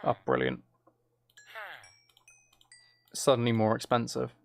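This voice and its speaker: Man, mid-range pitch, creaky fry, casual gaming commentary, muttered short phrases, thinking aloud. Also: very animated, fairly quick. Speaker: streamer playing a video game.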